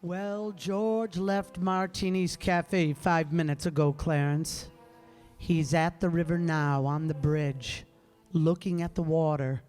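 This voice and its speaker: An actor playing a calm, authoritative senior angel in a radio drama, speaking in a measured, unhurried way. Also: male voice, a little high. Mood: calm, grave. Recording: echoey hall, music behind.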